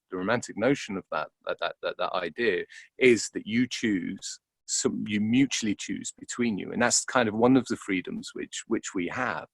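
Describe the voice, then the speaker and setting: A man's voice: low voice, gravelly, dry recording. A man reflecting in an interview, speaking hesitantly and repeating words as he thinks aloud. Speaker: interviewed actor.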